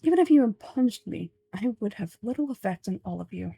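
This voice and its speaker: A woman voicing a calm, self-assured young male scholar from a game, measured and pointed in delivery. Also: medium pitch, vocal fry, slightly rough. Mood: coolly dismissive. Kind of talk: amateur voice-over of game dialogue.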